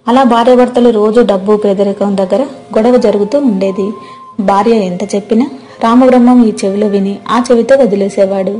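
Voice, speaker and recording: A woman's voice: medium pitch, steady tone, fairly quick, dark tone. A woman narrating a scripted moral story as storyteller, with music behind her.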